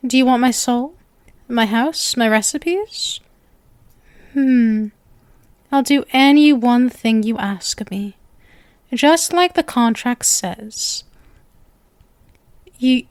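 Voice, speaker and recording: A woman's voice: fairly high pitch, takes it slowly, pauses often, darkish tone, dry recording. A woman voicing a sweet, lovestruck, clingy witch girlfriend in an ASMR roleplay, speaking to the listener in a coy, nervous, gushing and intimate manner. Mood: resigned, conceding, then overjoyed, adoring. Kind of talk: ASMR roleplay, scripted acting addressed to listener.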